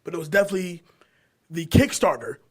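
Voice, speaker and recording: A man co-hosting a podcast, chatting casually in slangy, animated talk and repeating phrases for emphasis. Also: sits high, quick pace, a little hiss or hum. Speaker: podcast co-host. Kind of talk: casual pop-culture chat.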